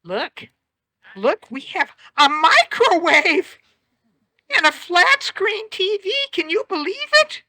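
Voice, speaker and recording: A woman voicing a vain, greedy fisherman's wife who gushes and demands in a high voice. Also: big pitch swings, slow, sharp tone, dry recording, heavily acted. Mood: excited.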